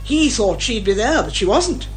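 A woman's voice: on the high side, sing-song, moderate pace, faint music behind. A British actress playing an insistent, headstrong older woman, a mystery writer turned amateur sleuth in a radio detective comedy. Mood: explaining keenly. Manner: insistent, emphatic, articulate.

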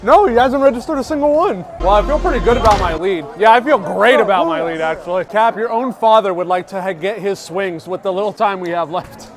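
A man's voice: high-pitched, expressive, fairly quick. Hyped young man filming at a stadium. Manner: hyped, loud outbursts. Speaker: vlogger. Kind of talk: excited running commentary. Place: outdoor stadium golf bays at night, rain. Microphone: action camera held at arm's length. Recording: noisy outdoor crowd and music. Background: crowd chatter and music.

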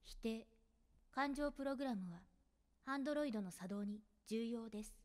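A woman's voice: on the high side, moderate pace, long reverb tail, natural voice. A woman playing an emotionless, dutiful android girl in an anime visual novel, speaking in formal, clipped phrases. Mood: calm, detached.

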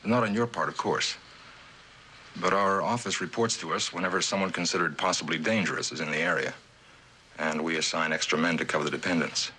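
Deep, dutiful security agent. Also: male voice, brisk, pushed and bright, husky, exaggerated voice, poor audio. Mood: matter-of-fact. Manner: deferential, matter-of-fact, formal. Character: polite, dutiful male government security agent. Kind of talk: mid-century American suspense drama acting. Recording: old broadcast with faint noise.

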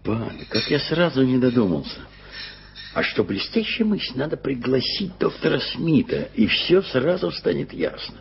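A fussy, gravelly old gentleman. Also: mid-range voice, very animated, normal speed, exaggerated voice. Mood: musing. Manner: musing, fussy, talks to himself. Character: fussy older gentleman Peter Hope, talking to himself. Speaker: actor in a radio play. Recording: dull old radio-play tape.

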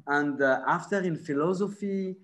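French-accented man, halting and searching. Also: fairly high pitch, a bit bright. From born in Morocco, raised in France. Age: 40s. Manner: halting, searching for words, many fillers; gestures with his hands while talking. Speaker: film director. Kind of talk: video call interview. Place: bright room at home. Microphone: laptop mic on a video call.

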